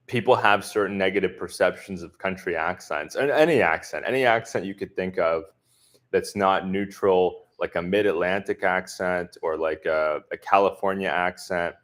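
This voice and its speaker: A man from the southern US answering viewers' comments in a relaxed livestream chat, speaking with a deliberately neutral accent and many filler words. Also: low-pitched. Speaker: expat vlogger answering live chat. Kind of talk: casual livestream Q&A.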